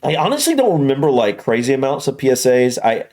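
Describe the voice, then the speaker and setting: A man's voice: mid-range voice, very animated, fast talker, a little mellow. Rambling American man chatting casually. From United States. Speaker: reaction video host. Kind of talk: casual conversational commentary.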